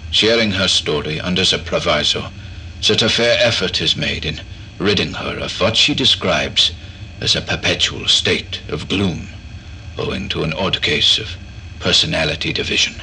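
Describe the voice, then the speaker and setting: Man acting a deep, sharp-voiced eccentric doctor. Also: a little hiss or hum, heavily acted, rough recording. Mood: measured, clinical. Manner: formal, measured questioning. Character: eccentric male doctor, probing, patient and measured. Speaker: actor in an audio drama. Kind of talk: horror audio drama acting.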